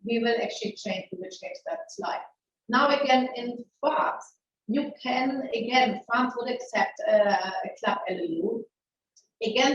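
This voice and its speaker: A middle-aged woman with a German accent leads a conversational legal webinar in a frank, lively manner, restarting her sentences and tossing out rhetorical questions. Her voice sits fairly high. It comes through a video call, muffled and set back in a strongly echoing room. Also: slight background noise. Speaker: webinar presenter, maritime lawyer. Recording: very echoey, distant, muffled webinar audio.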